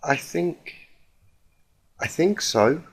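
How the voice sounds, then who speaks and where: A man voices a shy young cartoon pegasus mare. She sounds soft and gentle, nervous and hesitant, raised above a man's normal pitch. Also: animated, extremely slow, slightly rough, heavily acted. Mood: nervous resolve.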